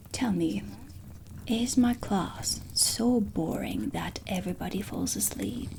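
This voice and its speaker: A woman playing a stern, sardonic dark witch teacher, soft-spoken and deliberate in an ASMR roleplay lesson. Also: mid-range voice. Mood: annoyed, menacing. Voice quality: soft, breathy whispering. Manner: slow, deliberate, haughty, menacing politeness. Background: ambient music.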